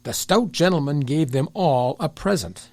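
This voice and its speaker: Animated, higher-pitched man telling stories. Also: normal speed. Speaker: storyteller. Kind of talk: scripted children's story narration.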